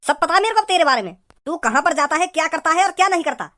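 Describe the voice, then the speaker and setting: A man plays an angry cartoon dad with a high, pitched-up voice. He fires off stern scoldings and threats at a quick pace, full of indignation at his son. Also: animated, bright, forceful tone, heavily acted. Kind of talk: amateur comedy cartoon dubbing.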